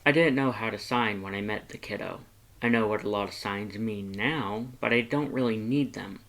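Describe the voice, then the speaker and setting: A man voicing a laid-back, gentle skeleton monster with a casual, understated way of talking, in a storybook reading. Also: on the low side, normal speed, gravelly. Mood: earnest, tender.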